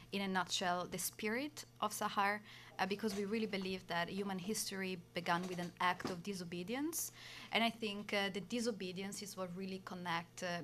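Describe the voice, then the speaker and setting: A woman's voice: mid-range voice, a bit bright. A woman from Italy with an Italian accent, a nonprofit co-founder speaking as an interview guest in hesitant speech full of fillers.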